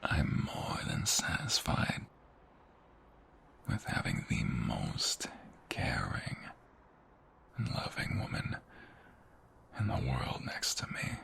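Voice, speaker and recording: Man acting a very deep, gravelly protective mobster husband. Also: wildly swooping pitch, fairly bright tone, whispered, heavily acted. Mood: tender, teasing. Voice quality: deep, gravelly, often breathy murmur. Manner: slow, teasing, intimate. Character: protective, flirtatious ex-mobster husband, tender but menacing. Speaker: voice actor. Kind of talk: intimate ASMR roleplay audio drama.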